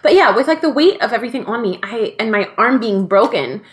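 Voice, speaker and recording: Chatty young mom, higher voice. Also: female voice, animated, fast talker. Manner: chatty, full of "like" fillers, laughs easily. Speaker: podcast co-host. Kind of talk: casual chat.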